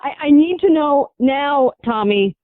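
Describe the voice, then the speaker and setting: A woman speaks as a caller on a radio show, in an emotional personal exchange. Her voice is high, and it comes through a narrow, dry telephone line. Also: expressive, a little slow, dry recording. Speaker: caller, wife on a radio prank call. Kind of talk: emotional phone confrontation. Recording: dry, muffled phone line sound.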